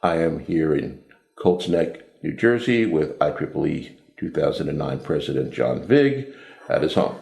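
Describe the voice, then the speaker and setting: A man's voice: rumbling low, measured pace, vocal fry, roomy sound. A man interviewing an engineer at his home, asking brief questions and prompting him along. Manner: brief prompts and steady acknowledgments. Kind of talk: oral history interview.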